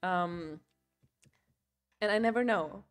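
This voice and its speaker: A woman's voice: lowish voice, takes it slowly. Chatty young woman. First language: German. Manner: chatty, rambling, filler-heavy, laughs often. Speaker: livestream host. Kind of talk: casual chat while crocheting.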